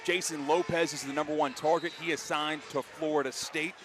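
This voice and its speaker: An American man calling live football play-by-play for radio, excitable and given to shouting on big plays. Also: a little high, fairly quick. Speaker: play-by-play announcer. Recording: clear outdoor stadium background noise.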